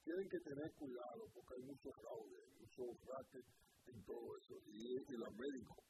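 A Dominican-born man hosting a talk show, quick and animated with teasing interjections. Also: fairly high pitch, gravelly, background noise. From Dominican Republic. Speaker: host. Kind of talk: casual radio talk show.

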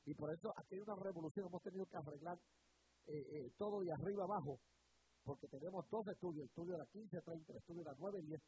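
Fervent radio preacher. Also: male voice, high voice, dark tone, low-quality recording. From Puerto Rico. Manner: fervent preaching with repetitions and drawn-out emphasis. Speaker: radio pastor. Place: radio studio. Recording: hissy broadcast recording.

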